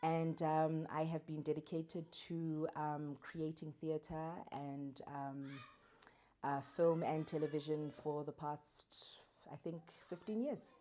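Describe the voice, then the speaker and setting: Low-voiced woman, thoughtful guest. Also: little pitch movement, mellow, slight background noise. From born in Zambia, raised in Australia and Johannesburg. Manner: thoughtful, measured, pauses with fillers. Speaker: guest actress. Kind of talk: casual podcast interview.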